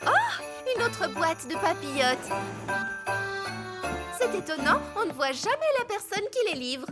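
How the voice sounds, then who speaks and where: A woman's voice: very high voice, sing-song, a little slow, heavily acted. A woman voicing a cheery, dreamy schoolteacher in a French cartoon dub. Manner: cheery, dreamy, sing-song. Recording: studio voice under cartoon music.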